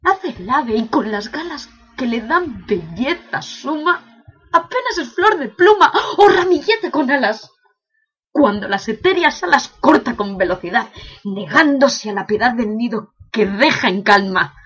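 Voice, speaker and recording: A woman's voice: a little high, animated, measured pace, fairly bright tone, breathy edge, muffled recording, heavily acted. A woman playing an anguished imprisoned prince in a declamatory, impassioned classical verse monologue, bitterly questioning heaven. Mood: wounded, yearning.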